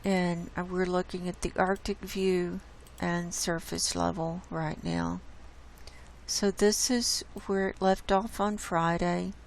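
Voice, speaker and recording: Hoarse, slow-talking woman. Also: lowish voice, flat delivery, slight background noise. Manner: unhurried, explains data on screen. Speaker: channel host presenting climate data. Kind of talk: casual screen-share presentation.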